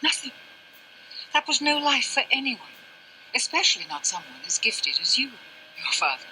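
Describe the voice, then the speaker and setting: An ailing older mother in a video game, sharp-tongued yet weary. The voice is fairly high and very bright and tense, with haughty, theatrical, genteel phrasing. There is faint noise and ambience behind it. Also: big pitch swings, moderate pace, heavily acted, poor audio. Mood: regretful. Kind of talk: dramatic scripted game dialogue.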